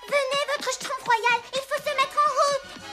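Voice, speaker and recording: A small female cartoon Smurf, sweet and caring. Her voice is extremely high and childlike. Music plays clearly behind her. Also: steady tone, unhurried. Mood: cheerful, tender. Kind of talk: French animated cartoon dialogue.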